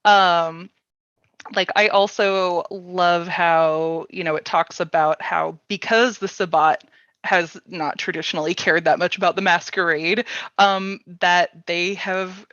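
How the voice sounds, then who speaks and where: A woman hosting a casual panel interview, informal and halting, with lots of "like" and "you know". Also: on the low side, fairly even intonation, fairly bright tone. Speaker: panel host. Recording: dull, lo-fi, roomy sound.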